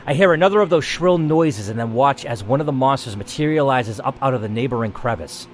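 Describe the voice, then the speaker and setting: A man's voice: medium pitch, expressive, a little fast, a little hiss or hum. A man reading a first-person game story aloud as the player, in a dramatic narrating style.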